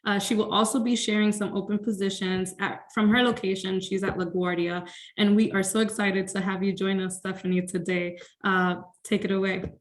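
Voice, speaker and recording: A woman moderating an online career workshop over a video call. She sounds upbeat, thanks her guests warmly, and leans on fillers between thoughts, in an everyday mid-pitched voice. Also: level tone, moderate pace. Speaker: webinar host.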